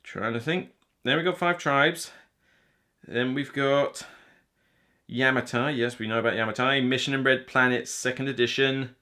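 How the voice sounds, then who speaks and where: A man's voice: medium pitch, fairly even intonation, normal speed, sharp tone. A man in his thirties talking casually and unscripted to camera as a board game reviewer, rambling and chatty with a self-deprecating streak. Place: small room lined with board game shelves, indoors.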